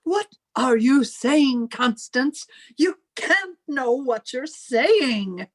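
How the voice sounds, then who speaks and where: A woman's voice: sits high, expressive, very slow, heavily acted. A woman playing a bitter, controlling older sister in old time radio melodrama, theatrical and imperious in her delivery. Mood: alarmed.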